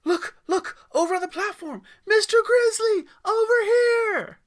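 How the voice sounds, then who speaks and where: A man's voice: very high voice, measured pace, voice pushed up. A man voicing a frightened eight-year-old camp boy with a shaky, anxious delivery in a storybook reading. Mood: excited. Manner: shaky, anxious whispers and pleas.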